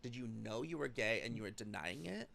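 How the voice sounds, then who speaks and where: A man's voice: mid-range voice, lively intonation, a little fast, gravelly, crowd noise. An American man in his thirties, chatting casually as he hosts an interview podcast. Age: 30s. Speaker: podcast host.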